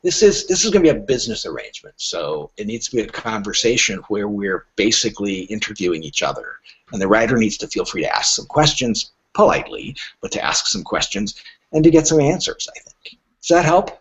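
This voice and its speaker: A man speaking casually as an interview guest, halting but emphatic, with wry asides. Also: on the low side, big pitch swings, fairly bright tone, breathy edge. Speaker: podcast guest, literary agent. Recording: dull lo-fi audio.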